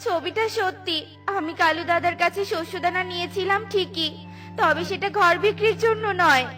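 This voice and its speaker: Little cartoon bird heroine: very high, earnest, sweetly innocent, over prominent music. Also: female voice, normal speed, pushed and bright. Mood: pleading. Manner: pleading, earnest. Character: small earnest female bird, pleading, good-hearted. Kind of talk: children's cartoon voice acting.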